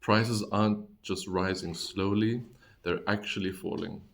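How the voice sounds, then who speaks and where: A young man who grew up in China co-hosting a podcast, talking hesitantly with many pauses. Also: low voice, steady tone, creaky, a bit bright. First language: Mandarin and German. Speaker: podcast co-host, marketing manager. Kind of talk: conversational podcast.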